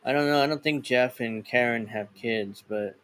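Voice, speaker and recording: A man's voice: mid-range voice, normal speed, a bit bright. A man co-hosting a casual wrestling chat, rambling and laughing often. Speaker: podcast co-host.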